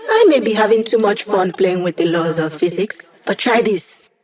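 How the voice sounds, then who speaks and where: Video game mission-support hacker, female, young: wry, conversational, quick with quips; voiced by a woman. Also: mid-range voice, darkish tone, lightly acted. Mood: wry, playful.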